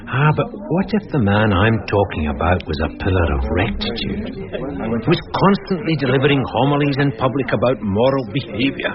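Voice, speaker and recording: An actor playing a shrewd, measured, quietly teasing police sergeant in a radio detective drama. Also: male voice, medium pitch, dull audio, lightly acted. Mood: sly, teasing. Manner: deferential yet sly, explanatory.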